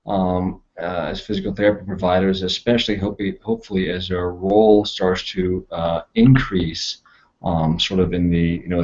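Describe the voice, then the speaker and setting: Deep-voiced, flat-toned man on a call. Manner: earnest, with frequent "you know" and fillers. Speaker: guest panelist. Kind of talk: casual panel discussion. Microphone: video call. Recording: slightly muffled video-call audio.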